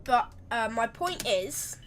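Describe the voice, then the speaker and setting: A woman with a slightly croaky voice, rambling chattily through live gameplay commentary and correcting herself as she goes. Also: fairly high pitch, expressive, takes it slowly, a little hiss or hum. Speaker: game streamer.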